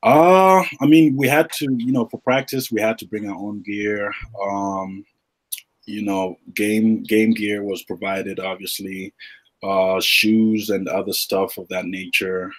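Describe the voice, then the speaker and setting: Deep husky man, relaxed and halting. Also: low-quality recording. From Uganda. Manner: relaxed, halting with many fillers. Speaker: guest, retired pro basketball player and agent. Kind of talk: podcast interview.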